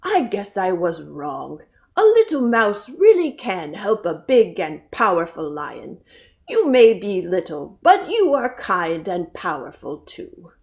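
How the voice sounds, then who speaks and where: Lady doing a big gruff lion voice, low and loud, proud and hungry, kids storybook. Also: wildly swooping pitch, slow pace, exaggerated voice. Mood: grateful. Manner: booming, gruff, amused.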